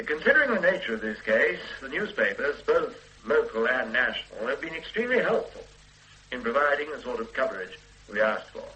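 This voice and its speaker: A sharp, exact cop boss, dry and in charge, bright voice, bit of old radio hum. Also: male voice, medium pitch, very animated, low-quality recording. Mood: briefing. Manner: measured, precise, dryly authoritative. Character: methodical middle-aged police detective superintendent. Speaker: detective. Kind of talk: 1970s radio drama acting.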